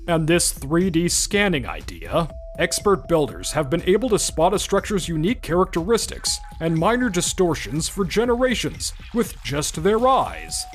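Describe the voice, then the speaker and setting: Man playing businessman, manga dub, expressive swings, slightly high, earnest, light background music. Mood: indignant. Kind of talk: anime-style manga dub acting and narration.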